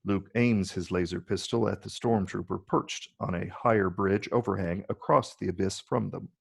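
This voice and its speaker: Low, gravelly male narrator. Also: steady tone, normal speed. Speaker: narrator reading stage directions. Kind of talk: scripted narration. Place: virtual read, recorded remotely.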